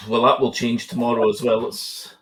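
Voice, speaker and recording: A man thinking aloud in a relaxed chat, hesitant and full of restarts. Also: medium pitch, a little slow, poor audio. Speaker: guest fan. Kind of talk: casual conversation.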